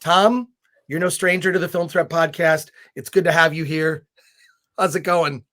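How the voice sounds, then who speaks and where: A man's voice: fairly high pitch, steady tone, normal speed, fairly bright tone. A man hosting a podcast in a casual, enthusiastic chat, his voice a little scratchy. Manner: chatty, enthusiastic, many restarts. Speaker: podcast host.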